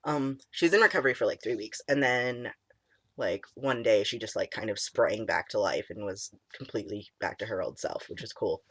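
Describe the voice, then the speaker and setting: A young man in casual chat, his voice cracking and squeaky, deep and stuffy from a cold, rambling with many ums and likes. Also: moderate pace, fairly bright tone, husky, dry recording. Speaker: vlogger. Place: small room indoors.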